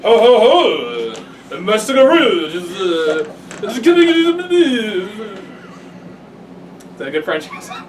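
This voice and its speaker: A man's voice: high-pitched, wildly swooping pitch, slow, long pauses, heavily acted. A man in an improv scene putting on a hammy, over-the-top fake French accent. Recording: roomy, distant, noisy room.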